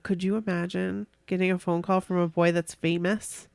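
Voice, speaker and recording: A woman's voice: fairly low pitch, normal speed, gravelly, clear, resonant tone, dry recording. A woman co-hosting a podcast, casually chatting and reading stories aloud in a giggly, gushing way. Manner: giggly, gushing, reacts excitedly. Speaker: podcast co-host.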